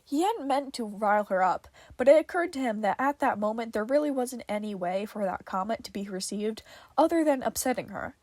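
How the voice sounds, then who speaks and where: A woman's voice: a little high, lively intonation, a little fast, darkish tone, dry recording. A woman reading fiction aloud as a podfic narrator, in a dramatic storytelling style.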